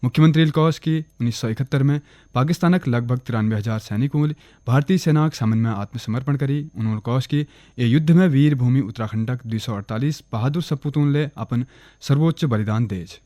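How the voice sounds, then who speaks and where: A man with a Kumaoni accent reading a regional radio news bulletin in a steady, formal way. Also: mid-range voice, fairly quick, no echo, studio quality. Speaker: newsreader. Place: radio studio.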